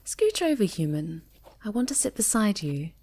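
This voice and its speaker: A woman playing a sweet, affectionate, polite moth monster girl in an intimate ASMR roleplay, gentle and often dropping toward a whisper. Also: medium pitch, very animated, normal speed, breathy edge. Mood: affectionate, playful. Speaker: voice actor in an audio roleplay.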